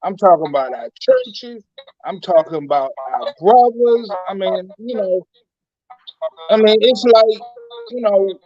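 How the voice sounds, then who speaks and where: A man's voice: high-pitched, animated, a little slow, muffled recording. A man on a discussion panel, speaking earnestly and colloquially with many rhetorical questions. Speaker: talk show panelist. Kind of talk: impassioned panel talk.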